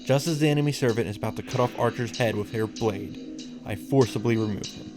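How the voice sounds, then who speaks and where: A man reading the part of a proud young female mage from a visual novel, in measured, solemn dramatic narration. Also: medium pitch, music in the background, lightly acted. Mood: grave, tense.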